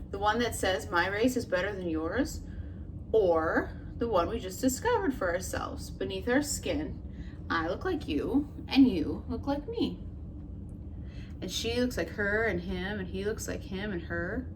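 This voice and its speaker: A woman teacher reads a picture book aloud to children in a gentle, expressive storytelling way, asking questions of her listeners, then talks it over with them. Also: mid-range voice. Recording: noticeable background noise.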